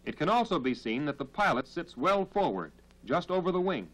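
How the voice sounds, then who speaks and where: An American man narrating a 1940s military training film in a formal, measured, instructional manner, on a period film soundtrack. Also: on the high side, big pitch swings, fairly bright tone, rough recording.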